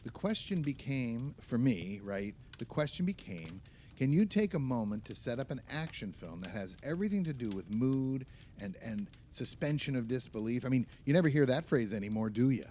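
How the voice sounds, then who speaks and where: An actor playing an opinionated, nostalgic film writer, talking emphatically and at length among friends in a naturalistic audio play. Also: male voice, medium pitch, animated, normal speed, dark tone, a little hiss or hum, close to an everyday voice. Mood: earnest.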